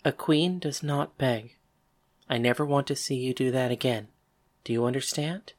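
A woman's voice: rumbling low, moderate pace, darkish tone. A woman playing a fierce, commanding female gunslinger leader in a dramatized western audiobook, protective and defiant, with emphatic challenges. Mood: stern.

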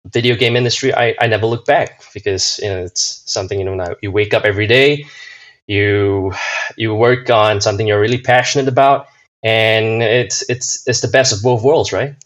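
A man from Singapore, a podcast guest, answering casually with many fillers and restarts. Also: lowish voice. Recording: dull lo-fi sound.

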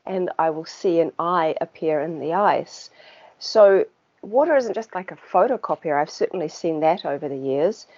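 A woman with a New Zealand accent, a podcast guest who talks in a relaxed, chatty way with small laughs and filler words. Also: on the low side. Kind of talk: casual conversational interview. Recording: dull lo-fi sound.

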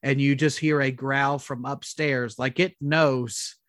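A man co-hosting a casual podcast, chatting and reading out trivia in a halting way. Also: medium pitch, fairly even intonation, fairly bright tone, no echo, clean studio sound. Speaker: podcast co-host.

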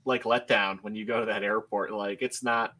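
A man in his thirties with a bright, forceful tone chats on a casual livestream. He restarts his sentences, repeating words before he finds his point. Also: lowish voice, fairly even intonation. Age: 30s. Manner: halting, repeats words as he starts. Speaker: podcast co-host.

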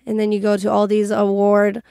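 A young woman from Iran hosting a casual interview podcast, chatty and quick to react. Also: mid-range voice, completely flat delivery, creaky, dark tone, smooth, no room sound, clean studio sound. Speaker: podcast host.

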